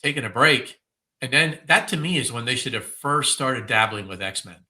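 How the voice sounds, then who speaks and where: A man's voice: mid-range voice, animated, moderate pace, pushed and bright. A man co-hosting a casual chat, giving earnest, emphatic opinions. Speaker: podcast co-host.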